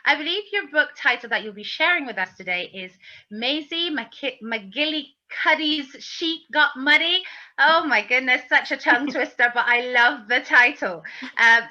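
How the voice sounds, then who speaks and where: Bright, forceful woman interview host. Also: on the high side. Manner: effusive, complimentary. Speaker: interviewer hosting author series. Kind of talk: interview hosting, conversational. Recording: slightly dull, lo-fi online call audio.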